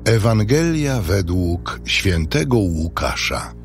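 Male scripture narrator: fairly low, unhurried, solemn. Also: sing-song. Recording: music bed behind.

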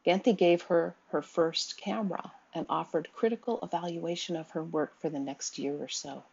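A woman lectures in a measured, steady voice over a sequence of slides. Her voice sits low and is somewhat husky. The recording is dull and lo-fi. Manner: measured, reads quotes aloud, occasional ums.

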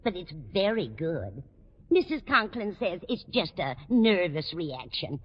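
Homespun landlady, rambling, earnest, very varied pitch, 1940s radio sitcom, dull recording. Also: big pitch swings, measured pace, background noise. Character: chatty, homespun, slightly dotty landlady. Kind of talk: old-time radio sitcom acting.

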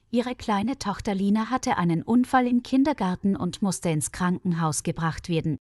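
A woman reads a scripted story for language learners, phrasing each sentence clearly. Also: medium pitch, darkish tone, no room sound, clean studio sound. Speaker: narrator of language-learning stories. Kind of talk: scripted narration.

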